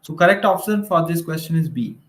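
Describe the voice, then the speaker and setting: Male maths teacher, Indian accent, slightly high voice, informal explanatory delivery with frequent check-in tags, noticeably echoey room. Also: lively intonation, brisk, a bit bright. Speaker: maths teacher for exam preparation. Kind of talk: informal online lecture, working through problems. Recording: roomy, echoing room sound.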